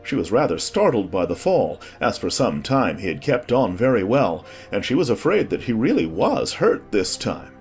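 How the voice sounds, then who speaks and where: A man's voice: lowish voice, very animated, normal speed, hardly any pauses, a little mellow, faint music behind. A man narrating a storybook as a scripted audiobook.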